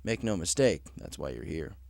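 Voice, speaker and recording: A man's voice: deep voice, big pitch swings, normal speed, creaky, a little mellow, dry recording, clean studio sound, pitched below the performer's own voice, exaggerated voice. A man voicing a serious, work-driven male pegasus factory supervisor in a story, speaking formally and with measure. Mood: stern, approving.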